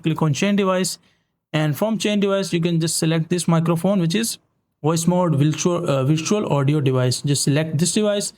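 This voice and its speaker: A man with a somewhat high voice hosts a software tutorial. He gives instructions step by step in informal wording, often repeating himself as he guides the listener. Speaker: tutorial host.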